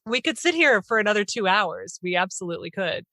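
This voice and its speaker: A woman's voice: mid-range voice, expressive, fairly quick, sharp tone, dry recording. A woman hosting a podcast interview in a casual, conversational style.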